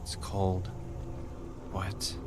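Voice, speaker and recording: An actor playing a haunted, paranoid, defiant man in an audio drama, speaking intensely in short, halting phrases. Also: low voice, monotone, noisy background. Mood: uneasy, haunted.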